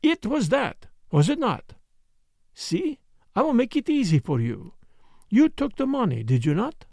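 A man performs a dapper foreign detective from a mystery audiobook. He is formal and measured, with French interjections, a high register and swooping intonation. The sound is close and dry. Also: sing-song, vocal fry, darkish tone, no echo, heavily acted. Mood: coaxing.

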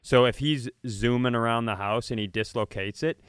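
Clear-voiced man telling comic stories. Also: mid-range voice, moderate pace, no room sound, studio quality. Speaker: comedy podcast host. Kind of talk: casual comic storytelling.

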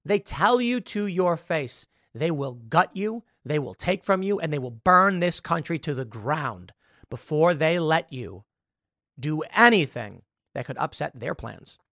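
A man's voice: fairly high pitch, animated, unhurried, bright, forceful tone, no echo, studio quality. A man in his thirties hosting live political commentary, impassioned and sarcastic, mocking the people he quotes. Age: 30s.

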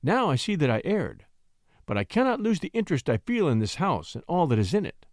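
A sly, charming young French lady coaxes and pleads in a high, lilting voice that leaps all over, played by a man reading aloud. The sound is close and dry. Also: sing-song, a little fast, no echo. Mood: coaxing.

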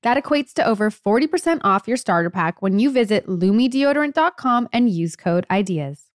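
A woman's voice: medium pitch, animated, moderate pace, no echo. Lady podcast host reading a sponsor ad, casual, really dry close sound. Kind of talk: casual scripted ad read.

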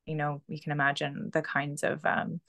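A woman in her thirties speaks as a podcast guest with a low pitch and a gravelly edge of fry. She talks loosely and chattily, leaning on "like" and "you know" as her thoughts unfold. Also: level tone, normal speed, a bit bright, dry recording. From Canada. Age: 30s. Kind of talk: casual conversational storytelling.

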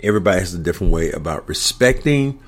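A middle-aged sports broadcaster with a somewhat low voice talks through a topic in relaxed podcast chat. He is reflective and measured, and his speech is often broken up by hesitant fillers. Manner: reflective, halting with frequent fillers. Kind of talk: casual sports talk.

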